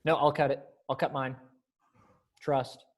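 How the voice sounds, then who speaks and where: A woman's voice: rumbling low, flat delivery, a little slow. A woman casually hosting and narrating a virtual readers theater event.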